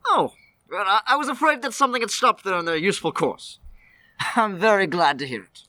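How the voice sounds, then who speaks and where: A grumpy old miser in a radio drama, played by an actor, with a bright, biting voice. He is curt and dismissive, grumbling with cold scorn at everyone around him. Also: medium pitch, expressive, brisk. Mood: cold, scornful.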